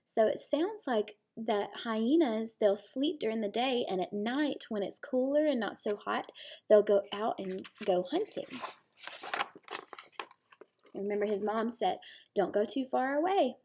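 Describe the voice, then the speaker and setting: Woman, higher-pitched, teacher's read-aloud, simple explanations, speaking to small children. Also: a little slow, mellow, slight background noise. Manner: explanatory, addressing young children.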